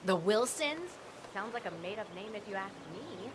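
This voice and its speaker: A nosy suburban wife acted by a woman gossips with suspicion and nags insistently. Her intonation swings widely in this video game dialogue, with clear ambient noise behind her. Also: medium pitch, lively intonation, moderate pace, close to an everyday voice. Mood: exasperated, insistent.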